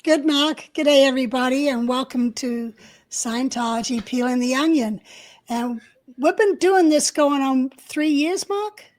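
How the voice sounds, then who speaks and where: High-voiced chatty female co-host. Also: measured pace, darkish tone. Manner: chatty, adds friendly explanations. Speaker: podcast co-host. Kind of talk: casual interview.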